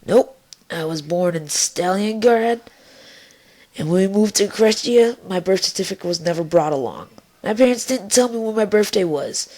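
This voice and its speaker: A tough, foul-mouthed young cartoon-style mare played by a woman. She speaks on the low side with a sardonic, nonchalant edge, tossing out lines wryly. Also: darkish tone. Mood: blunt, wry.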